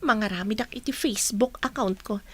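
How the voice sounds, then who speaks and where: Breezy, high-voiced young Filipino student. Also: female voice, moderate pace, fairly bright tone, breathy edge. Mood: carefree. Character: carefree young female student keen on social media. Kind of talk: radio drama acting.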